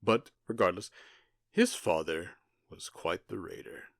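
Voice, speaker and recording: A man playing a smug, cruel outlaw gang boss in an ASMR roleplay, taunting with theatrical pauses and mock-polite menace. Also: deep voice, vocal fry, fairly bright tone, no echo, studio quality, exaggerated voice. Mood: mocking storytelling.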